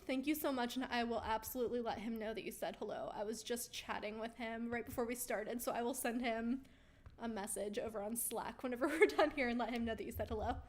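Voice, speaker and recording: A chatty woman hosting a live stream, her fairly high voice going hoarse as she reads out viewer comments. She talks casually and upbeat, with frequent ums and easy laughs. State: losing her voice. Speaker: host of a weekly live Q&A stream. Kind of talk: casual livestream chat, reading viewer comments aloud.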